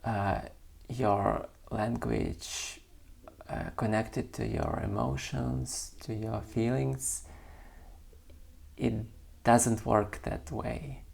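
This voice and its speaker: A man whose first language is not English, reading his own poem and then musing about it slowly and hesitantly, searching for words. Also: mid-range voice, animated, vocal fry, darkish tone, husky. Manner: slow, searching, hesitant with many pauses. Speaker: poet and channel creator.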